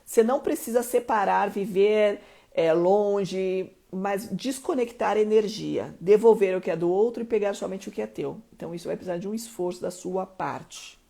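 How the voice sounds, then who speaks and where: Woman from mato grosso do sul, curitiba accent, husky and a bit gravelly, warm darkish tone, coaching on a live stream. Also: mid-range voice, normal speed. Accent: Curitiba Portuguese. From Mato Grosso do Sul, Brazil. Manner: emphatic, keeps checking in with listeners. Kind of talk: motivational live-stream teaching.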